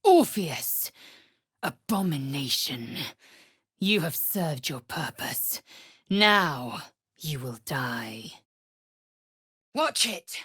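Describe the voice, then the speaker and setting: A woman voicing a proud, fierce, imperious githyanki warrior in a video game, with a husky, raspy voice and a clipped, haughty, formal manner. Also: deep voice, big pitch swings, extremely slow, pauses often, fairly bright tone, no echo, clean studio sound, heavily acted. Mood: fierce, battle cry. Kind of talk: game voice acting, short scripted lines.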